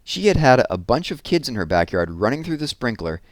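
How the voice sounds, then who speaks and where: A man reading a children's book aloud in an expressive storytelling style, leaning on key words for drama. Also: medium pitch, fairly quick, dry recording.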